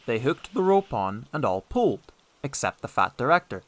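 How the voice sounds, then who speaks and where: A man narrating a scripted children's story as an audiobook, expressive and deliberate in his storytelling. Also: medium pitch.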